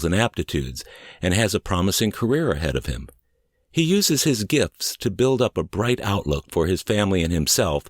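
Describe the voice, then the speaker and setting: A man reading scripted audiobook narration in a measured, deliberate, clearly phrased way. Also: sits low, no room sound, clean studio sound. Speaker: audiobook and podcast reader.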